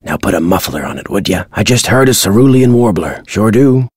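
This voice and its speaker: An older American man voicing a crotchety retired birdwatcher in a video game, folksy and gruff with a husky, dry delivery. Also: fairly low pitch, sing-song, brisk, darkish tone, no room sound. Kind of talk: video game character dialogue.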